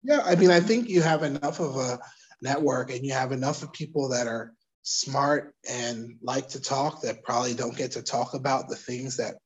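Interview guest: New York man, husky mid-pitched voice, reflective and halting. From Brooklyn, New York. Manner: thoughtful, hesitant, with restarts and soft laughs. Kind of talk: casual interview conversation.

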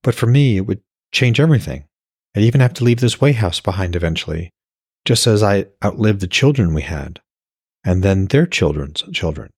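A man voicing a gentle, earnest young woman in love in a fantasy audiobook, softly questioning and frank. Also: lowish voice, expressive, moderate pace, breathy edge, dry recording, clean studio sound. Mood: pleading.

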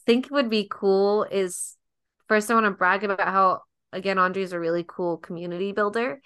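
A woman's voice: mid-range voice, fairly even intonation, moderate pace, fairly bright tone, smooth, dry recording, clean studio sound. A young woman who is a guest on a podcast, chatting casually, filling her speech with likes and ums and laughing easily. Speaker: podcast guest, dance partner.

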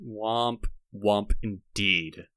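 A man's voice: on the low side, extremely slow, sharp tone, no room sound, studio quality. A man co-hosting a podcast in casual, comedic banter, with a playful, giggly manner. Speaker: podcast co-host.

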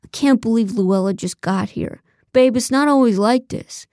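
A woman's voice: on the high side, moderate pace, a little mellow, dry recording, clean studio sound, close to an everyday voice. Woman as an eager, clingy male suitor. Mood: coaxing.

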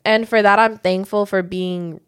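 A young woman in casual conversation, speaking with animated energy. She laughs often and leans on fillers like "like" and "honestly". Her tone stays relaxed and open. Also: medium pitch, a little slow, clear, resonant tone. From United States. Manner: animated, laughing, frequent likes. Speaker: guest.

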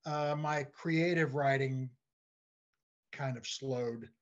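An older man chatting as an interview guest, halting and repeating words as he searches for his phrasing. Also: medium pitch. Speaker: guest, playwright and comedy writer. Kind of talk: casual interview chat. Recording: dull, lo-fi voice track.

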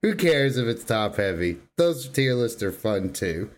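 A man's voice: mid-range voice, big pitch swings, measured pace. A man co-hosting a podcast, talking about games in casual, slangy, emphatic fan talk. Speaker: podcast co-host. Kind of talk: casual gaming chat.

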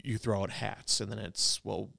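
A man from Wisconsin hosting a podcast, talking things through in a measured, thoughtful way and repeating words as he goes. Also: fairly low pitch, flat delivery, vocal fry, pushed and bright, no echo. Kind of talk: casual podcast chat.

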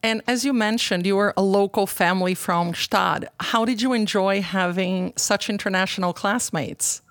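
A woman's voice: medium pitch, normal speed, no echo. A woman hosting a podcast, polished and enthusiastic as she asks her guest questions. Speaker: podcast host. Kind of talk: scripted podcast interviewing.

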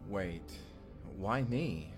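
A man's voice: low voice, expressive, very slow, over music, exaggerated voice. A man playing a bewildered, ordinary guy in a science fiction audio drama, casual and full of incredulous questions. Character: Benji, bewildered ordinary young man pulled through time.

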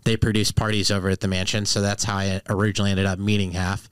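Boston-accented man, low voice, dry mic. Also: fast, clean tone, no echo. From Boston, Massachusetts. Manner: animated storyteller, laughs through punchlines. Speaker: guest comedian. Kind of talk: casual podcast chat.

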